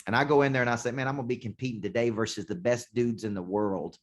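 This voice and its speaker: A man, a sales coach, giving an intense motivational talk with punchy repetition, slowing down to hammer key points. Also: medium pitch. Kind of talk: motivational talk to a show host. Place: condo.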